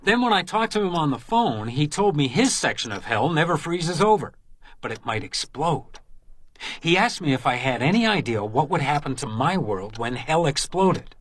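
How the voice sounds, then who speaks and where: An actor playing a driven, brooding house detective in a radio crime drama. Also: male voice, fairly high pitch, very animated, moderate pace, a bit bright, no echo. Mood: puzzling it out.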